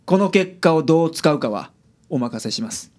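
Man acting gruff Kansai detective, swooping delivery. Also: fairly high pitch, animated, darkish tone, close to an everyday voice. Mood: measured, kind. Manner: blunt with colleagues, measured and polite with clients. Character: gruff, sardonic male private detective, shrewd and secretly kind. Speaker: detective in a radio drama. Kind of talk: radio drama acting.

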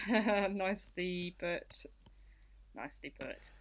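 A woman co-hosting a relaxed, conversational discussion of the news. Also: medium pitch, extremely slow. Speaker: podcast co-host. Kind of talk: casual news chat.

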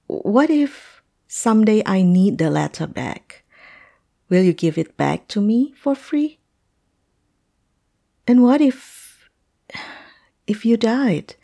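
A woman acting a hesitant, polite, heartbroken client in a fantasy roleplay audio drama, speaking haltingly. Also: medium pitch, big pitch swings, vocal fry, warm tone, exaggerated voice. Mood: puzzled, hesitant.

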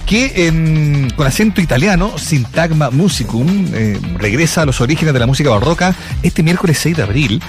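Chilean male radio host, high voice. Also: animated, moderate pace, faint music behind. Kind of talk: live radio interview.

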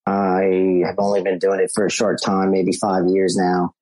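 A man's voice: low voice, completely flat delivery, moderate pace, a little mellow, dry recording, low-quality recording. A man, a podcast guest talking easily about keeping bees and repeating words as he thinks. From South Louisiana. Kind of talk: casual interview chat.

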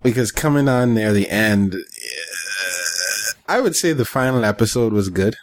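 A man in casual podcast chat, talking in a halting, thoughtful way. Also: lowish voice, expressive. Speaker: podcast panelist.

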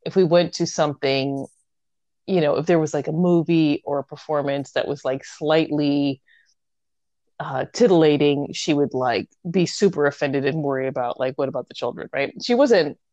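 A woman's voice: low-pitched, moderate pace, no room sound, dull audio. Chatty woman telling stories. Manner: animated storytelling, many fillers, laughs often. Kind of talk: casual chat between sisters.

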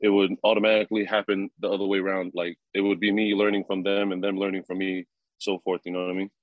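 A young man in his twenties, a rugby player answering interview questions in a relaxed, halting way with many fillers and chuckles. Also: sits low, dry recording, phone-quality sound. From New Zealand. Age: 20s.

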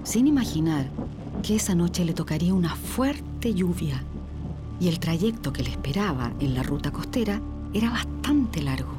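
A woman narrates a dramatic radio story in a fairly low voice. Her delivery is measured and suspenseful. Loud music plays behind her. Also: lively intonation. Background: prominent music and sound effects.

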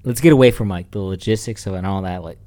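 A man co-hosting a podcast in casual banter, joking and halting as he repeats himself. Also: low-pitched, dark tone. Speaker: podcast co-host.

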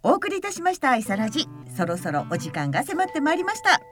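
A woman's voice: sits high, wildly swooping pitch, fast. A woman co-hosting a web radio talk show, agreeable and mostly answering in short replies. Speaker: co-host. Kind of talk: casual chat. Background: background music.

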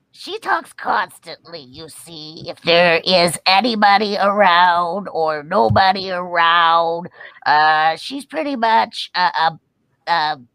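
A woman voicing a chatty, sheepish seven-year-old boy puppet in an improvised interview, halting and rambling as words are searched for. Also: fairly low pitch, gravelly, bright, forceful tone, no echo, full character voice, rough recording. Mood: chatty, hesitant.

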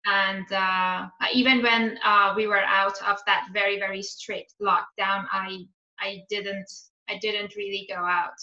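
A woman's voice: mid-range voice, little pitch movement, a little slow, bright, forceful tone. A woman in her thirties from Iran, a podcast guest chatting casually with many hesitant ums. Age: 30s. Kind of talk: casual chat. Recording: roomy, dull lo-fi sound.

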